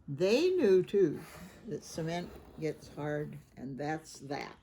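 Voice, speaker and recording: A woman's voice: sits low, very animated, takes it slowly, dark tone, a little hiss or hum. A woman reading a children's story aloud expressively, adding informal asides about the pictures. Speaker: children's picture book reader.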